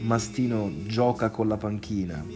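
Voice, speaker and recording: Male narrator, quiet, restrained, slow scripted stage directions, faint music behind. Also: on the low side.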